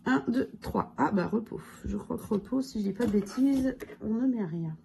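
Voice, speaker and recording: A hoarse woman with a cold chats casually about her budget, counting amounts out loud and filling pauses as she goes. Her voice is gravelly and dark. A faint room ambience hums behind her. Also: medium pitch, animated, slight background noise. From France. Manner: counts money aloud, many fillers. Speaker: budget vlogger. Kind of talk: casual budget review talk.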